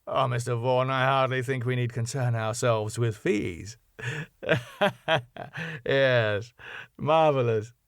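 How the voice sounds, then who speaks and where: A man playing an excitable, flamboyant married ballroom club owner in a sitcom script reading. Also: mid-range voice, slow pace, clean tone, no room sound, studio quality, voice pushed down. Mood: eager, deferential. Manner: flamboyant, exclamatory.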